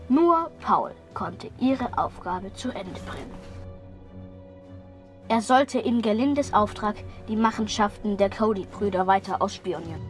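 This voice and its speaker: Female narrator over music. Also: mid-range voice. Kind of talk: scripted narration of a children's radio play.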